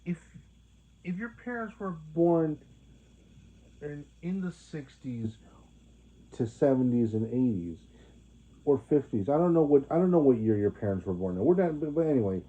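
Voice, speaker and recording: Halting young man, tinny phone-like sound. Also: a little high, big pitch swings, mellow. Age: 20s. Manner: halting, many restarts and fillers. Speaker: vlogger. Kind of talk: rambling monologue to viewers.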